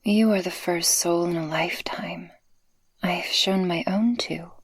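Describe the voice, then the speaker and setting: A woman playing a tender succubus guardian in an intimate, soft-spoken ASMR roleplay, affectionate and breathy. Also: lowish voice, steady tone, unhurried, dry recording. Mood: shy, earnest. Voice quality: breathy, husky. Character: tender, possessive female succubus guardian, playful and shy in love.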